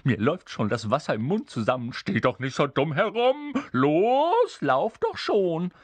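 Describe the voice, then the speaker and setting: Shrill, gleeful little goblin. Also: male voice, sing-song, fairly bright tone, no room sound, heavily acted. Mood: greedy, impatient. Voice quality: shrill, cackling. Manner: bossy exclamations, chuckling, drawn-out words. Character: tiny prickly dream-eating goblin, gleeful, impatient, greedy, male.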